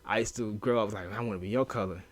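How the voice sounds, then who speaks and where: Profane, animated man in casual chat. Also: on the low side, fast. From US inner city, moved to Louisiana. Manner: loose, profane, lots of fillers, animated retelling. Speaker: podcast guest.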